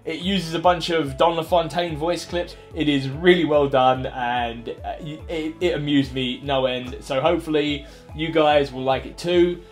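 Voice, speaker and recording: A man in his thirties with a fairly high voice hosts a casual online show. He sounds animated and chatty, recommending things with enthusiasm. Music plays behind him. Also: a little slow. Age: 30s. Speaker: host of an online video show. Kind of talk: casual upbeat recommendations to camera. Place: small furnished room indoors. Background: background music.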